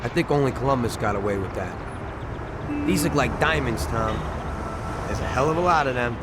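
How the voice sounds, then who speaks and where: An actor playing an excitable young gangster who talks in street slang and breaks into sudden outbursts. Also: male voice, mid-range voice, normal speed, lightly acted. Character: excitable young male gangster, tempted by loot. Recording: game audio with street noise.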